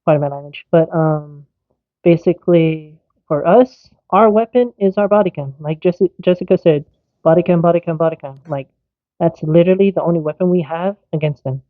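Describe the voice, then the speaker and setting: A speaker of medium pitch comes through a narrow, phone-like game voice chat with no room sound. The talk is casual and informal, emphatic in places, like a player addressing their team in a roleplay meeting. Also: moderate pace, no room sound. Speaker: player in an online roleplay game's EMS faction. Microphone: online game voice chat. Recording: dry, tinny phone-like voice chat.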